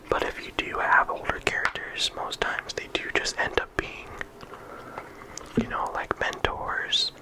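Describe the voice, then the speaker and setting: Soft whispering ASMR speaker. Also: rough recording. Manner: soft unvoiced whisper throughout; casual, with frequent ums and likes. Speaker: ASMR creator. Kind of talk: whispered ASMR game discussion.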